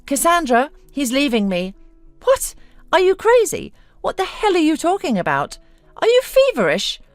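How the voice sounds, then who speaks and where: Casting: female narrator as scornful, domineering businesswoman wife for audio story, theatrical, swooping pitch, dry close sound. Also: sing-song, a little slow, no echo, faint music behind. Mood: incredulous. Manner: mocking, theatrical, exclamatory.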